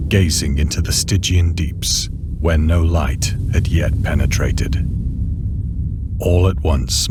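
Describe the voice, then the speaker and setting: An actor playing a shaken ship officer lost at sea, narrating a horror tale in formal, ominous literary prose. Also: male voice, deep voice, very noisy, natural voice. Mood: tense wonder. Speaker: narrator and main character. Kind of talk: scripted dramatic horror storytelling. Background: music.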